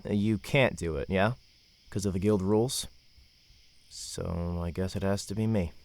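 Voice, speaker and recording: A low-pitched young man played by a male actor in a fantasy audio drama. He is a reluctant, protective brother who muses and grumbles hesitantly. Music plays behind him. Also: fairly even intonation, a little slow, gravelly, a little mellow, slight background noise. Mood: resigned.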